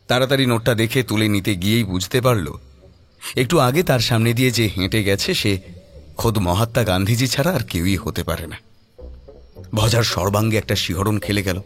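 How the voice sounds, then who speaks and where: Animated man narrating a story. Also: on the low side. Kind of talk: scripted horror story narration.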